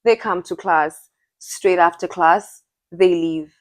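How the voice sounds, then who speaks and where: A woman's voice: on the low side, steady tone, slow pace, no room sound. A young woman from Zimbabwe co-hosting a podcast, chatting casually and telling a story with lots of laughter. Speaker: podcast co-host. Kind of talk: casual chat.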